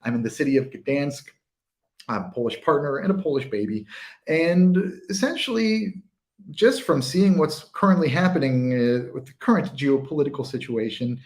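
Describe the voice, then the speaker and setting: A man's voice: medium pitch, a little mellow. An American man in his thirties co-hosting a whisky tasting livestream, chatty and self-mocking, speaking over a video call.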